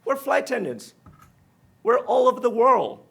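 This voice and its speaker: A man's voice: very high-pitched, very animated, normal speed, vocal fry, a bit bright, breathy edge. A man from Taiwan with a Taiwanese accent, speaking as a union treasurer candidate in a debate, direct and pointed. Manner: non-native phrasing, direct and pointed. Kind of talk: debate statement.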